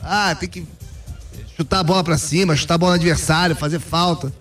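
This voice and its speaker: Opinionated high-voiced male pundit. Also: fairly quick, a bit bright, soft background music. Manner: opinionated, emphatic, repeats "cara". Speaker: football pundit. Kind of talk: casual sports talk commentary.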